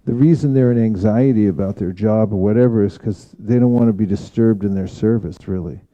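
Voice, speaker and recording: A man speaking as a spiritual teacher in an informal devotional discussion, reflective and halting in his phrasing. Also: fairly low pitch, mellow, muffled recording. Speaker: spiritual teacher leading a scripture class.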